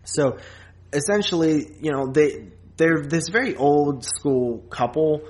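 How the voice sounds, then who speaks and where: A man's voice: a little high, takes it slowly, a little mellow. A man co-hosting a podcast, casually reviewing a film in a rambling, loose way with plenty of filler and some swearing. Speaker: podcast co-host.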